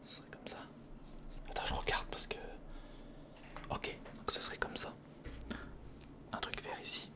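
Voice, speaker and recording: An ASMR creator speaking in a breathy, unvoiced whisper, casually muttering and thinking aloud through a hands-on build. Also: normal speed, long pauses.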